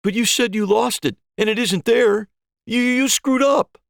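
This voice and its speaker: A man's voice: very high voice, measured pace, gravelly, no echo, clean studio sound, voice pushed up, exaggerated voice. A man voicing an anxious, literal-minded male spectator, hesitant and worried, in an audio story. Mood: panicked. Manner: hesitant, worried, stammering repeats. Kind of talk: acted dialogue in an audio short story.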